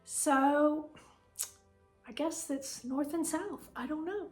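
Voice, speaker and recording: A middle-aged woman with a voice made raspy by a sinus cold, chatting fondly and rambling as she shows her collection in her home kitchen. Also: high voice, slow pace, soft background music. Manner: chatty, fond, meandering. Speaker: vlog host. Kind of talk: casual home tour chat.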